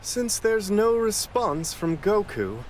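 A man's voice: high-pitched, big pitch swings, takes it slowly, a little mellow, a little hiss or hum, full character voice. A man playing a serene, refined angelic attendant in an anime video game, prim, formal and politely aloof. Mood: calm, analytical.

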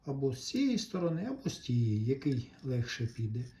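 A man gives an unscripted hands-on repair tutorial, explaining patiently step by step with short pauses. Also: medium pitch, very animated, dark tone. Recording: roomy echo, faint background noise.

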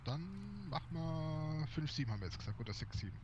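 A man's voice: fairly high pitch, big pitch swings, a little fast, darkish tone. A man in casual, joking gaming chat over online voice chat. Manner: joking, teasing. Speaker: game streamer. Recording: muffled voice chat with clear background noise.

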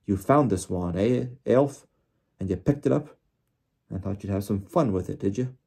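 A man's voice: lowish voice, moderate pace, mellow, breathy edge. A man voicing a stern, officious wizard official in an audiobook, pompous and accusing as he interrogates. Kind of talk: audiobook character voice.

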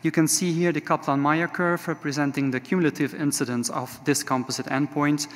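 A man in his forties with a Dutch accent, giving a measured conference talk with frequent filler sounds. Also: on the high side, fairly even intonation. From Netherlands. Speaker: conference speaker. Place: large conference hall. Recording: reverberant conference hall.

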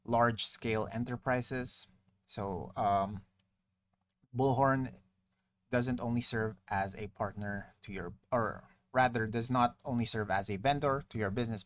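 A man presenting a software tutorial as a walkthrough voice-over, explaining and partly reading aloud, sometimes correcting himself. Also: medium pitch. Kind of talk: software walkthrough narration, partly read from screen. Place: screen-recording voice-over.

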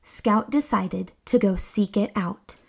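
A young woman hosting a children's read-aloud, reading a storybook in an expressive, deliberate, exclamatory way. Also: mid-range voice, vocal fry, breathy edge.

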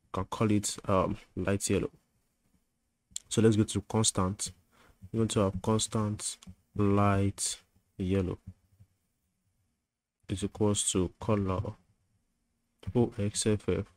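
A man's voice: fairly low pitch, little pitch movement, slow pace, pauses often, darkish tone, no echo. A man giving a screen-recorded coding tutorial, thinking aloud as he types, with fillers and self-corrections.

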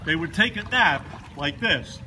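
A man in his seventies explaining kayak rolls slowly and with gentle humor, speaking outdoors on open water and picked up by a distant camera. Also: fairly high pitch, expressive, noisy background. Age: 70s. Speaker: kayak instructor demonstrating rolls. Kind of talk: casual demonstration narration.

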